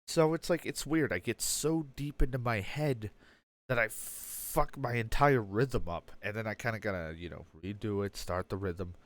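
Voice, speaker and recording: A young man with a low-leaning voice speaks through a badly stuffed-up nose. He rambles in a candid, self-deprecating way, circling back with fillers and restarts as he talks through his own feelings. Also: moderate pace. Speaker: streamer. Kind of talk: candid personal update, casual talk.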